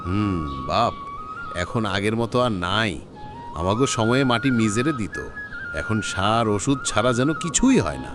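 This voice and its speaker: A man's voice: fairly low pitch, big pitch swings, unhurried. A man playing a kindly old village uncle in a cartoon, rustic, sighing and reassuring, with music behind him. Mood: resigned, consoling.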